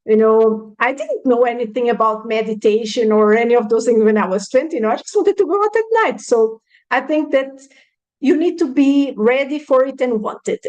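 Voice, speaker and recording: A woman's voice: a little high, darkish tone, rough recording. A woman in her thirties, speaking frankly and reflectively as an interview guest, with many "you know" fillers. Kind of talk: casual interview conversation.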